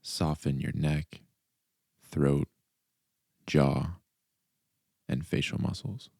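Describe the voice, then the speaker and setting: A man with an extremely low voice leads a guided meditation. His tone crackles with vocal fry, and he gives calm, measured instructions in short phrases. The sound is dry and close, with no room ring. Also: dry recording. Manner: calm, deliberate, soft instructional delivery with pauses. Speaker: mindfulness coach.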